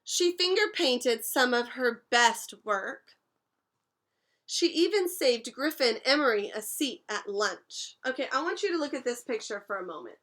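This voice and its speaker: Young female teacher, patient read-aloud style, bright and a touch high. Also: animated, unhurried. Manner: patient, explains words and asks questions. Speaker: teacher reading to class. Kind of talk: read-aloud storytime lesson.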